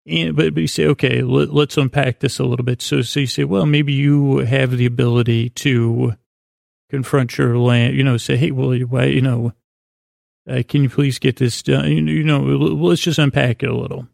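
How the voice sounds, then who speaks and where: A man hosting a sleep podcast in a creaky, gravelly voice, meandering through a bedtime monologue with halting pauses and false starts. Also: mid-range voice, steady tone, darkish tone, no echo, clean studio sound.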